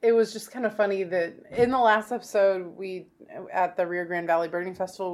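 A woman's voice: medium pitch, darkish tone. Laughing, teasing woman podcast host. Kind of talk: casual chat.

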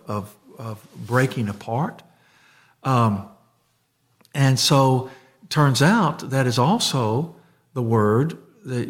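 A man chatting on a podcast, rambling with many restarts and trailing off softly at the ends of thoughts. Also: mid-range voice. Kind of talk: casual conversational chat.

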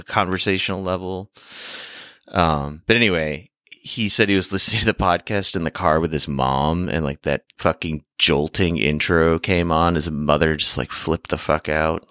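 A man hosting a podcast in casual, rambling talk, halting and pausing as he goes, close on the microphone. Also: low-pitched, a bit bright, no room sound. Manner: halting, hesitant delivery with long pauses. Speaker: podcast host. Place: remote recording over a video call. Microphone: microphone held close to the mouth.

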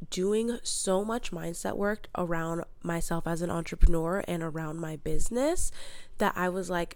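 A woman's voice: fairly low pitch, a little mellow, no room sound. A young adult woman hosting a solo podcast as a mindset coach. She has a cold and sounds stuffed up, and her delivery is upbeat and motivational. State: congested with a head cold.

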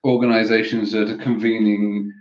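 A man co-hosting a podcast, speaking in a measured, thoughtful way and often restarting his sentences. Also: lowish voice, flat delivery. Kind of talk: conversational podcast talk. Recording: echoey, dull lo-fi audio.

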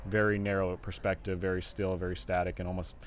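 Fast-talking deep-voiced man, flat delivery. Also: a little hiss or hum. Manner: relaxed, rambling with many fillers. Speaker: film director and writer. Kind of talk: casual interview answers. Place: live at a film festival venue.

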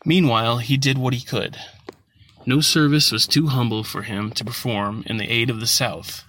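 A man narrating a literary short story from a script, in a measured, formal manner with an occasional stumble. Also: medium pitch, steady tone, fairly bright tone, a little hiss or hum. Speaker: audiobook narrator.

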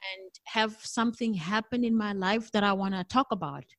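A woman's voice: medium pitch, normal speed, fairly bright tone, no echo, studio quality. A middle-aged woman from South Africa whose first language is not English, speaking candidly and thoughtfully in an interview as a jazz singer. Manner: thoughtful, candid, laughs easily. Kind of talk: conversational interview.

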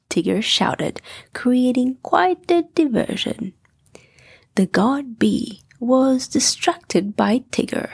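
A woman narrating a scripted bedtime story for children with a soft, gentle delivery. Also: mid-range voice, creaky, breathy edge, dry recording.

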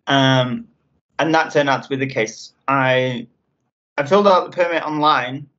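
A man from England answering interview questions casually, halting with frequent ums and restarts. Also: mid-range voice, fairly bright tone. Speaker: interview guest. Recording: dull lo-fi sound.

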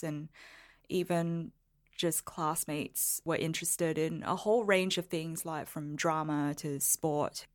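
A woman who grew up in Singapore and Melbourne, talking casually and reflectively as an interview guest, explaining with small asides. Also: deep voice. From Singapore, moved to Melbourne at thirteen. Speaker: podcast guest, lawyer and blogger.

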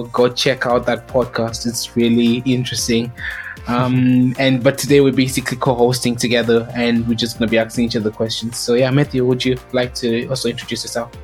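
A man with a Southern African accent hosting a casual podcast chat, speaking in a hesitant, halting way. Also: medium pitch. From Zimbabwe, grew up in South Africa. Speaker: podcast host.

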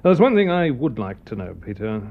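An actor playing a curious, thoughtful English gentleman, the detective's companion, speaking conversationally in a classic radio drama. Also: mid-range voice, very animated, a little fast, narrow, tinny audio, a little hiss or hum. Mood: curious, probing. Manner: inquiring, conversational.

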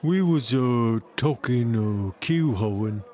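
A man voicing a sheepish, dim old sailor, halting and deferential, in an audiobook. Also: medium pitch, animated, warm tone, some chatter behind. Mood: sheepish, nervous. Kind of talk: audiobook character acting.

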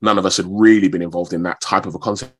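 A man, talking as a guest in a radio interview in a modest, measured, slightly halting way. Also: low-pitched, fairly even intonation, breathy edge, lo-fi sound. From London, England. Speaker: actor, radio show guest.

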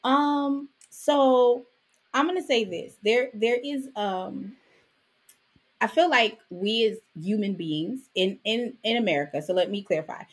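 A Jamaican-born woman raised in Brooklyn, a podcast guest telling her story in a lively, chatty way with frequent "right?" asides. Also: a little high, unhurried. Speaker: podcast guest, author and business owner. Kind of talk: casual interview conversation.

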